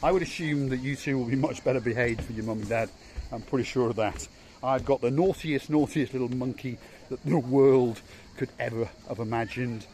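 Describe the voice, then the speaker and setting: Playful chatty guy filming a walk round a resort, faint outdoor hiss, steady middling voice. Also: mid-range voice, a little fast, rough recording. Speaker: vlog host. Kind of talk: casual walking tour vlog. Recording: faint outdoor background noise.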